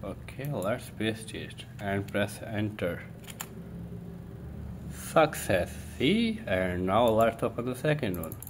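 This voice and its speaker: A man presenting an informal step-by-step tech tutorial in a halting way, thinking aloud as he works. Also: fairly low pitch. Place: indoors at a computer desk. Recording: steady room noise.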